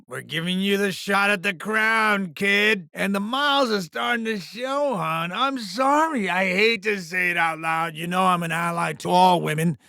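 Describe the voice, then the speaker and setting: A scheming alien entertainment tycoon for a video game. The voice sits high and bright with a gravelly creak running through it. He sells everything like a bombastic, foul-mouthed promoter, all false chumminess and crass glee. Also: no room sound, studio quality, heavily acted. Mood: bombastic hype, then smug, mocking. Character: gleefully crass, scheming alien showbiz mogul. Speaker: arena show promoter.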